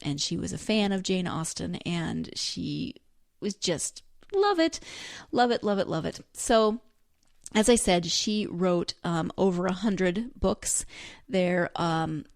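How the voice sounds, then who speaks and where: A woman's voice: on the low side, animated, takes it slowly, creaky, dry recording, studio quality. A woman hosting a podcast, chatting enthusiastically about books in a giggly, rambling way full of asides. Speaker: podcast host. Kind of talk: chatty solo book review talk.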